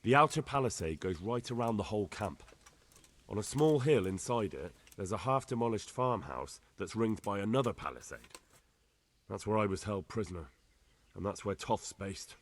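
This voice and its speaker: A man's voice: lowish voice, lively intonation, normal speed. An actor playing an earnest young man bringing urgent news in a game cutscene, plain-spoken. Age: young adult. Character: earnest young man, a lord's son and messenger. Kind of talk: video game cutscene acting.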